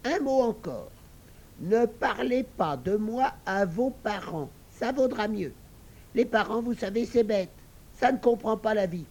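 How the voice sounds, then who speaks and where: A man's voice: high voice, animated, a little slow, a little mellow, voice pushed up, exaggerated voice. A man voicing a sly little pink pig that begs breathlessly and then wheedles. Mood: wheedling.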